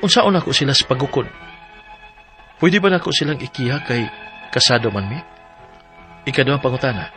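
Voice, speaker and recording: A man's voice: mid-range voice, sing-song, fairly quick, pauses often, sharp tone. An actor with a Cebuano accent playing a troubled middle-aged husband and father working abroad, reading a plaintive letter for a radio drama. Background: background music.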